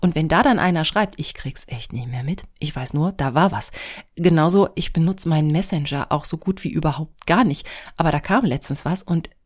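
A middle-aged woman chats on her solo podcast in a loose, scattered, self-deprecating way. Her voice sits a little low, and a cold leaves it nasal and stuffy. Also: fairly quick, a little mellow. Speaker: podcast host. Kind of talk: casual solo podcast chat.

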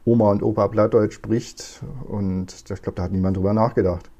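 A man in his forties from northern Germany answers interview questions, thinking aloud as he goes. His voice is low, gravelly and mellow. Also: moderate pace. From East Frisia, northern Germany. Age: 40s. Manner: relaxed, thoughtful, many fillers. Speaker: guest. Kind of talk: conversational interview.

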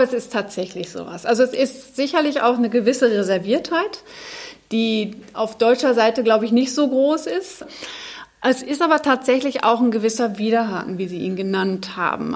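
A woman's voice: fairly high pitch, normal speed. A woman from Germany, a guest in a discussion, who answers in a reflective, thoughtful way.

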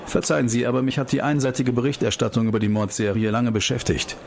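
An actor plays an ambitious male investigator in a radio play, with a medium-pitched voice. He is persistent, sardonic and politely combative, over clear outdoor urban noise. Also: little pitch movement, quick pace, crowd noise, lightly acted. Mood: sardonic, probing. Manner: pointed, sardonic, persistent questioning.

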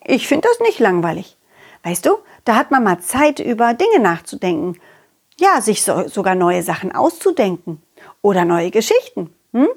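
Woman as a gentle, lively storybook dog. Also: medium pitch, normal speed, slightly rough. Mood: musing, kind. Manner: gentle, empathetic, musing, talks simply to children. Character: confident, loyal, kind dog hero reassuring his friend.

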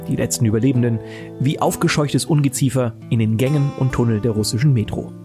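A man's voice: mid-range voice, lightly acted. A man playing a weary, bleak mercenary survivor, narrating an audio drama in a brooding, literary way. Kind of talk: scripted first-person dramatic narration. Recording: voice over game music. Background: guitar music and game sound effects.

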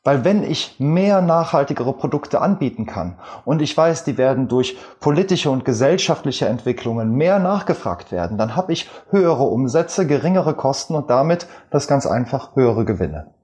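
A man with a Rhineland German accent, a guest expert giving measured, explanatory answers with frequent fillers in an interview. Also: mid-range voice, animated, a little mellow.

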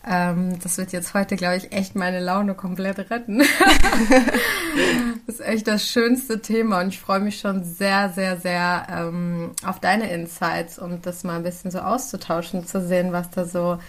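A young woman in her twenties talks as a podcast guest. Her voice sits fairly low and stays flat and even. She speaks hesitantly, pausing often with fillers and starting sentences over. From Germany. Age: 20s. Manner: hesitant, many fillers and restarts. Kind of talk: casual conversational interview.

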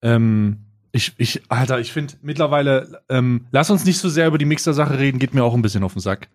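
A young German man chats as a podcast co-host in a mid-range male voice. His delivery is casual and slangy. He often halts and restarts while he searches for the right word. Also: medium pitch. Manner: halting, slangy, searching for words. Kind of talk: casual unscripted podcast chat.

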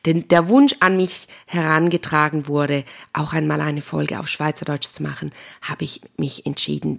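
A woman's voice: deep voice, gravelly. A woman with a Swiss German accent hosting a solo podcast, talking casually and addressing the listener directly in a chatty, informal way. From Switzerland. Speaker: podcast host and spiritual healer.

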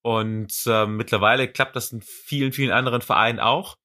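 A young man from Swabia with a light Swabian accent, a para athlete chatting casually in a podcast interview, thoughtful and relaxed with frequent fillers. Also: mid-range voice, fairly quick. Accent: light Swabian German. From Swabia, Germany.

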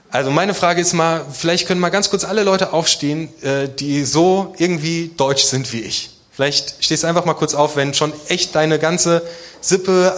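A man's voice: on the high side, a bit bright. Casual male preacher, conversational and questioning. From Germany. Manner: casual, informal, rhetorical questions. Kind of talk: conversational sermon addressing a congregation.

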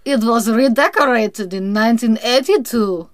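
A woman voicing a Russian-accented woman slowly giving a house tour in English. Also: on the high side, lightly acted. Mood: stiff hospitable. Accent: Russian, put on in English. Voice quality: exaggerated accent, deliberate and slow.

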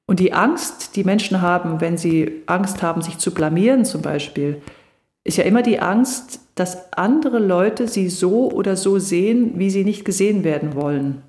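A middle-aged woman coaching on voice and stage fright, explaining calmly and reassuringly in a podcast conversation. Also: lowish voice, moderate pace. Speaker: voice and charisma coach. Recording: echoey room.

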